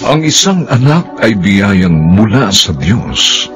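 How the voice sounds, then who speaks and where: A man's voice: lowish voice, sing-song, slow pace, loud music behind the voice. A man narrating and announcing a radio drama in a solemn, theatrical style. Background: loud musical score.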